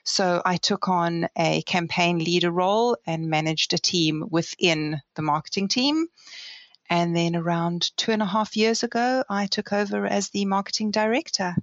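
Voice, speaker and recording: A woman with a South African accent tells her story in an easy, chatty way, often laughing at herself. Her voice sits a little low. The sound is dry and dull, like a remote call. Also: normal speed, no echo. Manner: chatty, self-deprecating, laughs easily. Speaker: guest, marketing director. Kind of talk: casual interview talk.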